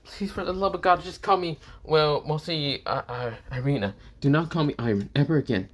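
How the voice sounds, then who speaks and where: A man's voice: on the high side, fairly quick, voice pushed up, close to an everyday voice. A man voicing a flustered, hot-tempered young woman who pleads and squeals. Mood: pleading.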